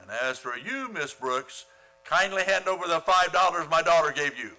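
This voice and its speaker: A man's voice: on the high side, lively intonation, normal speed, pushed and bright, exaggerated voice, poor audio. A man playing a pompous, easily flustered middle-aged father in an old-time radio comedy. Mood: stern, smug. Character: flustered, blustering father and boss figure, Mr. Conklin.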